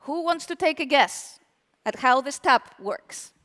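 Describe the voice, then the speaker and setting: A woman with a very high, lively voice speaks from a conference stage, her pitch bouncing up and down as she teases the crowd and quizzes them. Her words ring out with a long hall reverb behind them. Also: unhurried. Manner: animated, playful, asks the audience questions. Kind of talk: live tech conference talk. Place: conference hall with live audience.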